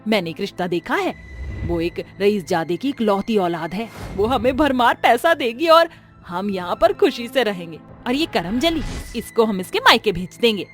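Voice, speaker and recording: A woman's voice: medium pitch, lightly acted. A woman voicing a cruel, greedy, middle-aged mother-in-law in a melodramatic moral-story cartoon, with a taunting manner. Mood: scheming.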